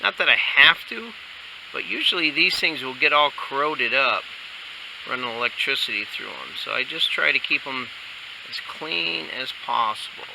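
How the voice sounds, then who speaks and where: Male DIY installer with a creaky, gravelly voice, casual step-by-step delivery in short bursts, noisy homemade video sound. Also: medium pitch, animated, normal speed. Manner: talks in short instructional bursts while working. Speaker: installer demonstrating a how-to. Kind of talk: casual hands-on tutorial narration while working. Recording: clearly noisy amateur workshop video sound.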